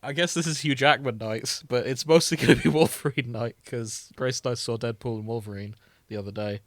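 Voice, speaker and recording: A man's voice: medium pitch, a little fast, no echo, clean studio sound. A man hosting a podcast, talking in casual banter with friends. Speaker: podcast host.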